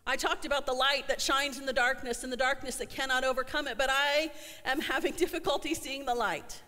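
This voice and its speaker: A middle-aged woman pastor delivering an earnest sermon, slowing down to stress her refrains, recorded in a church sanctuary. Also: sits high, fairly even intonation, sharp tone. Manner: earnest, slows for emphasis on refrains. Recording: long church hall reverb.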